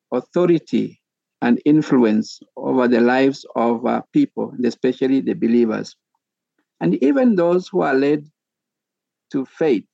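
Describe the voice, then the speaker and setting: A middle-aged man from Kenya, a pastor and theology professor, speaking as a podcast guest in a measured, methodical way with frequent pauses. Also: mid-range voice, vocal fry, warm tone, dry recording, muffled recording.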